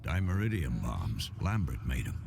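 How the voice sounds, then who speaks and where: An actor playing a stern, gruff old monster hunter mentor in a fantasy video game, matter-of-fact in manner. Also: male voice, rumbling low, big pitch swings, moderate pace, a little mellow, a little hiss or hum, full character voice. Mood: gruff, businesslike. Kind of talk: video game cutscene acting.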